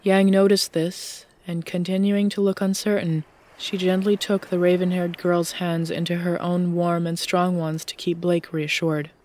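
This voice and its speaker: A woman's voice: on the low side, little pitch movement, a little slow, a little mellow. A woman reading scripted story narration as the narrator of an audiobook.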